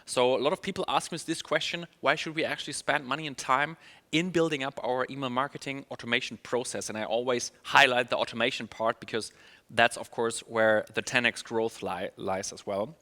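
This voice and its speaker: German guy w/ accent doing an upbeat webinar talk, bright voice, lots of ums, echoey hall. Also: medium pitch, fairly even intonation, fairly quick. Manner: upbeat, many fillers and restarts. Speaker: email marketing trainer. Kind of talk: online conference presentation.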